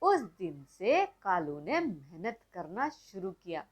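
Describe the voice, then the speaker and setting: A woman narrating a moral bedtime story for children, speaking gently and addressing the kids directly. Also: medium pitch, very animated, slow.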